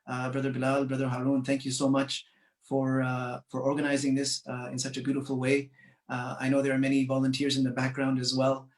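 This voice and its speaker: Canadian guy giving a slow earnest speech, lots of uhs, roomy echo. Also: mid-range voice, far from the mic. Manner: measured, earnest, with frequent uh fillers. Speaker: community speaker. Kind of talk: commemorative speech.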